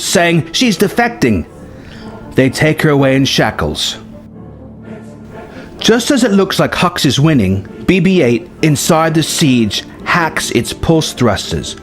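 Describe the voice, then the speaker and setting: Animated male narrator over music. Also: medium pitch. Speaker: narrator reading a story treatment. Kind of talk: scripted dramatic narration.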